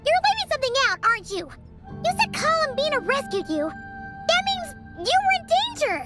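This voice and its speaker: Casting: tiny childlike game sidekick, extremely high-pitched and wildly animated, inquisitive, exclamatory, quick to get exasperated. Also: female voice, over loud music. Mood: flustered, exasperated. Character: small excitable childlike floating companion, female, easily exasperated. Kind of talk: animated video game dialogue.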